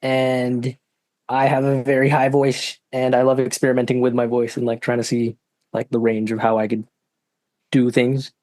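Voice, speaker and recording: Young male guest, mid pitch, soft and hesitant, dry close-mic sound. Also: monotone, normal speed, no room sound. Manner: soft-spoken, hesitant, heavy use of "like". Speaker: guest singer-songwriter. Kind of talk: casual interview chat.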